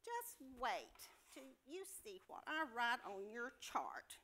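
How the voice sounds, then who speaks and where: A woman acts out a scornful night nurse in a comedy play reading. The voice is high and piercing, and the lines come slowly, heavy with dismissive sarcasm. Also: wildly swooping pitch, bright, forceful tone, full character voice.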